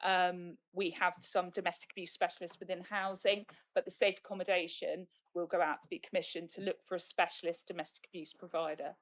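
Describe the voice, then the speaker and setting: Female officer with a slightly low voice and a plain, explanatory delivery. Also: flat delivery, brisk, hardly any pauses. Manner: explanatory, plain and matter-of-fact. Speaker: council officer. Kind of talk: answering questions at a council meeting. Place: council meeting chamber.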